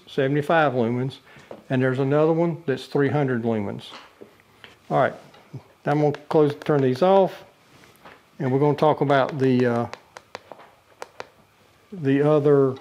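A man's voice: a little high, darkish tone. An older man casually demonstrating a camping lantern in his living room, talking plainly with frequent uhs and restarts. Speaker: product reviewer. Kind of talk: casual product demo. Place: living room with a brick wall, indoors.

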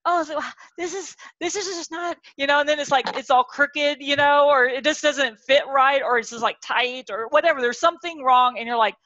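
A woman hosting a casual chat, talking in an animated way and often laughing as she tells her stories. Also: moderate pace, few pauses. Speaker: talk show host. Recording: dull, muffled low-fidelity audio.

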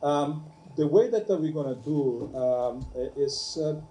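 Halting middle-aged man hosting in a room. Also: fairly high pitch, warm tone, echoey room, a little hiss or hum, low-quality recording. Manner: halting, with many fillers and restarts. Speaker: ESL professor and event host. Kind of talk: hesitant, warm classroom hosting. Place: campus meeting room.

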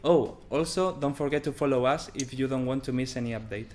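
A man's voice: medium pitch, brisk, darkish tone. A man in his thirties giving a scripted presentation as a game developer. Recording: music behind the voice. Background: background music.

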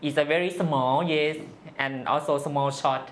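An interview guest from northeast Thailand with a Thai accent, giving personal answers in halting, simple non-native English. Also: medium pitch, fairly even intonation, a little hiss or hum.

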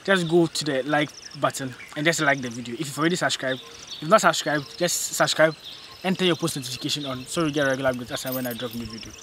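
A man's voice: mid-range voice, fast, noisy background. A young man with a Ghanaian accent hosting a farming channel, chatting eagerly with viewers and a guest out in a farm field. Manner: upbeat, chatty, pleads with viewers. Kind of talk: casual outdoor vlog interview. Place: open farm field outdoors. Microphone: handheld phone.

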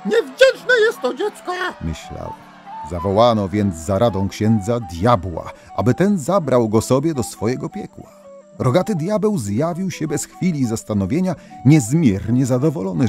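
Żywy, chropawy głos bajarza. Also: male voice, medium pitch, moderate pace, vocal fry, faint music behind. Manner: theatrical, animated. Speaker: storyteller. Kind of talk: expressive scripted folktale narration.

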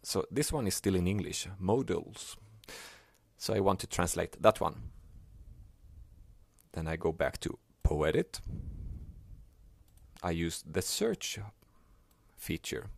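A man whose first language is Swedish, explaining a software task step by step in a plain, unhurried way. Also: sits low, big pitch swings, creaky. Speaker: software tutorial maker. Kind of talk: screen-recorded software tutorial.

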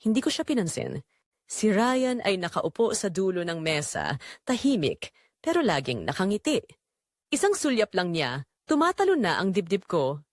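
A woman's voice: mid-range voice, wildly swooping pitch, moderate pace, no echo, studio quality. A woman narrating a romance audio drama as a yearning married mother confessing forbidden attraction. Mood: longing, tense.

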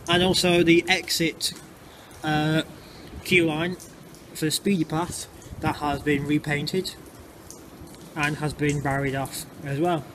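A man talks his way through a walking tour, chatty and a little halting as he explains things. His voice sits a touch high, and the camera mic picks up plenty of street and traffic noise around him. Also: little pitch movement. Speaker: vlogger. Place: outdoors in urban streets and underpass. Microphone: handheld camera mic. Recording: noisy outdoor street sound. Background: traffic and street ambience.

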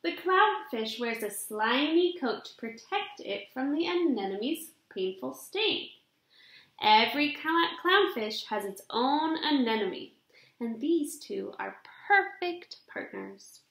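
A young adult woman reading a children's picture book aloud in an expressive, deliberate storybook delivery, recorded in a room at home. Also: high-pitched. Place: small indoor room at home. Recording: roomy, echoing home webcam recording.

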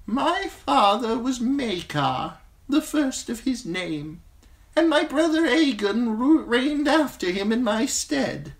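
Woman acting ancient blind old man, weary, gentle, slow grave delivery, high thin voice. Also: very animated, heavily acted. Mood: grave, sorrowful.

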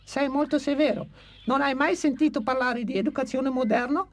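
A man's voice: very high voice, steady tone, normal speed, background noise. An actor dubbing a cheeky, impertinent old male bird in a cartoon, chattering and fussing.

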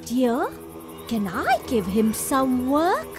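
A woman voicing a shrewd, calm, gently scolding wife and mother in a children's cartoon, with a knowing, sing-song manner. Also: sits high, takes it slowly, over loud music, exaggerated voice. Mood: composed. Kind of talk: animated children's story acting.

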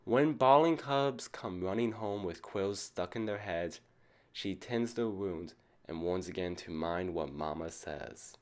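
Man, low voice, storybook reading for kids, teacherly. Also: lively intonation, a little slow, a little mellow. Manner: asks young listeners questions as a teacher would. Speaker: storybook reader for children. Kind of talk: children's picture book read-aloud.

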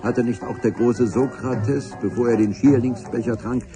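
An actor playing a grandiloquent chronicler, narrating a radio adventure in a solemn, florid, measured manner. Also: male voice, mid-range voice, steady tone, over music, close to an everyday voice. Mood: lofty, wistful.

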